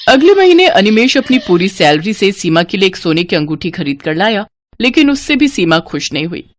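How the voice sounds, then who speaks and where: A woman's voice: medium pitch, big pitch swings, normal speed. A woman narrating a scripted moral story as a storyteller.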